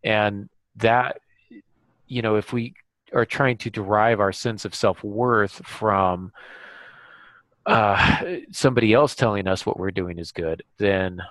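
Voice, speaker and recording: A man hosting a podcast, talking reflectively in a halting, thoughtful way. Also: fairly low pitch, a bit bright, dry recording, poor audio. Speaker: podcast host and author.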